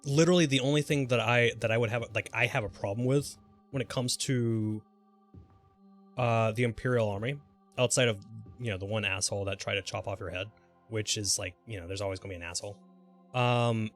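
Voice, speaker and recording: A man livestreaming a video game, chatting casually and thinking aloud in a halting, mildly sweary way. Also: fairly low pitch. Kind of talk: casual gameplay commentary and rambling chat. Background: music.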